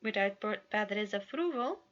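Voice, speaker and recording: A woman's voice: mid-range voice, lively intonation, unhurried, a bit bright, dull audio. A woman voicing a theatrical, teasing princely young man in a story reading, with courtly manner. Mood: casual courtly. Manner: dramatic, courtly.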